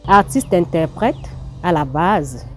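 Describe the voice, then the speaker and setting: A woman with a slightly low voice acts as the interviewer. She delivers prepared introductions and questions, with soft music underneath. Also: expressive, takes it slowly.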